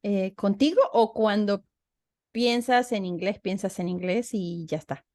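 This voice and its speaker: A woman's voice: mid-range voice, unhurried, a little mellow. A woman hosting a casual interview, talking reflectively and often restarting her phrases. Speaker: host, interviewer.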